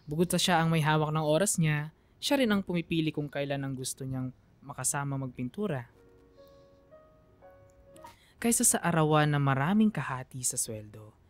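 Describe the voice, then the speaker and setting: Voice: medium pitch, close to an everyday voice. A performer with a Filipino accent playing a grateful grown daughter, earnestly reminiscing about a father in radio drama narration. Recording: dry studio sound.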